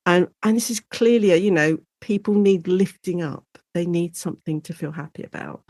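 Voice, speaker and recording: A British woman speaking as an expert guest in a conversational interview, explaining history in an informal, animated storytelling way. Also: on the low side, moderate pace, no room sound, clean studio sound. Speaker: guest expert, lecturer and author on Shakespeare in radio.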